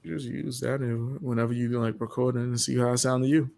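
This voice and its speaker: A man's voice: mid-range voice, steady tone, fast talker, creaky, a little mellow. A man in casual chat about home recording, laid-back and slangy, swearing freely. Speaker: streamer.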